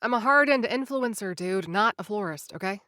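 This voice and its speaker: A woman's voice: mid-range voice, animated, normal speed, bright, forceful tone, no echo, clean studio sound. A young woman voicing a sarcastic, nervous influencer who narrates her story straight to the listener in an audio drama.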